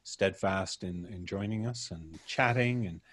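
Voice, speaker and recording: A man hosting a casual group chat with a polite, gently mock-stern way of joking. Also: sits low, unhurried. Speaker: podcast host.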